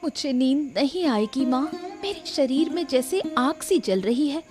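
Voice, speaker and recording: Actress as pleading young girl, plaintive, insistent, troubled, cartoon drama, clear music underneath. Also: high-pitched, lively intonation, a little slow. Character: distressed, pleading young daughter. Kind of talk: animated moral story dramatization.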